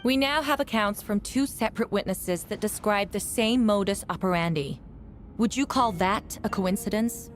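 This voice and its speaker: A woman's voice: mid-range voice, faint music behind, lightly acted. Composed, firm lady prosecutor voiced by an actress. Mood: firm, resolute. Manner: formal, measured, deliberate. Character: composed, firm female knight acting as prosecutor. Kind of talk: video game voice acting.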